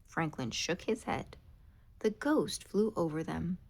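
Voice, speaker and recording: A woman reading a children's picture book aloud in an expressive, animated way. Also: on the low side, takes it slowly, vocal fry. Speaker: storybook reader. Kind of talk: children's read-aloud narration.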